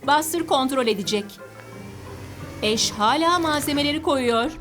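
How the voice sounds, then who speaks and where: A woman narrating a cartoon for young children, expressive and exclamatory, often asking questions and addressing the characters. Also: high voice, a little slow, loud music behind the voice.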